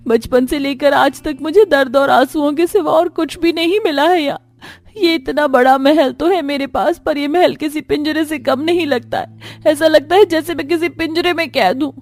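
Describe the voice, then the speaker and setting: A woman's voice: high-pitched, moderate pace, dry recording, voice pushed up. A woman voicing a sad, meek young stepdaughter in a cartoon story, plaintive and timid. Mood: tearful.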